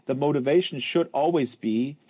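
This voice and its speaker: Mellow-voiced man hosting a casual livestream. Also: medium pitch, slightly rough, no echo. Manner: halting, rambling, conversational. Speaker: host of a live book-reading stream.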